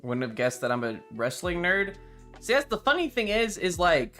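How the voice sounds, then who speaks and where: A man's voice: sits high, big pitch swings, normal speed, fairly bright tone. Rambling male streamer with music behind. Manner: rambling, enthusiastic, casually sweary. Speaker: livestreamer. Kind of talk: casual livestream chat.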